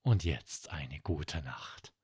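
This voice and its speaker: A man narrating a scripted children's bedtime story as podcast host, with expressive, dramatic storytelling. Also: extremely low pitch, very slow, breathy edge, no room sound, studio quality.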